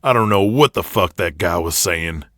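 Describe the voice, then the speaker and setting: A man playing a gruff, foul-mouthed, money-minded boss of a wrestling promotion, talking bluntly. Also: low voice, moderate pace, fairly bright tone, dry recording, studio quality.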